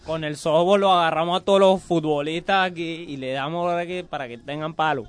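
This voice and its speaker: Puerto Rican man, young, playful radio co-host, smooth tone. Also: a little high, fairly even intonation, brisk, faint music behind. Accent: Puerto Rican Spanish. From Puerto Rico. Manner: playful, teasing, rambling. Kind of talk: joking radio banter and music introduction.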